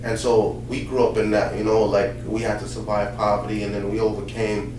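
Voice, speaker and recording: A Haitian-born man, a pastor and host telling his life story in a relaxed, casual chat full of fillers. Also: lowish voice, fairly quick. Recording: roomy sound from a distant mic with faint noise.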